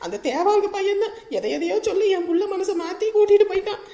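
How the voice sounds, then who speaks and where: A very high, quick-talking village mother played by a woman, bitter and full of grievance. She laments and scolds in family-drama style, recorded in an echoey room. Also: animated, dark tone. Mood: wounded lamenting. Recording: roomy, echoing voice recording.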